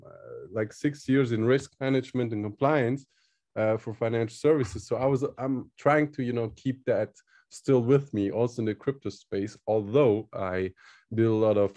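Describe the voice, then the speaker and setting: Man, English, mellow tone, halting delivery, casual interview guest. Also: mid-range voice. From Switzerland. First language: not English. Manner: halting, searching for words. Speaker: guest, crypto project team member.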